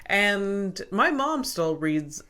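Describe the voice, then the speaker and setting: A woman's voice: mid-range voice, big pitch swings, drawn-out words, bright, forceful tone. A young adult woman co-hosting a podcast, chatting casually, laughing often and filling her talk with "like". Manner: laughs often, lots of filler "like". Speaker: podcast co-host. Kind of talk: casual chat.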